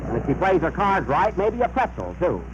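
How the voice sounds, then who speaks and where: On a noisy old radio recording, a grumbling country father in a comedy skit. The voice is fairly high and lively in pitch, with a dry, folksy, exasperated way of talking. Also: fairly quick, highs cut off. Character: grumbling old rural father of grown daughter. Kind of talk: 1930s radio comedy acting.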